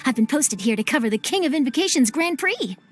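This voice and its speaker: Bubbly nosy young reporter gal, high lively voice, music in the back. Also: female voice. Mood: eager. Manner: chipper, persuasive, quick. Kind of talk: video game cutscene dub.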